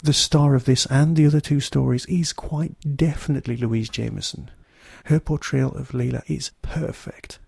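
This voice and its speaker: Male podcast reviewer, gravelly low-key warmth in the tone, dry close recording, wry emphatic delivery. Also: steady tone, moderate pace, few pauses, dry recording. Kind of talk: solo podcast review monologue.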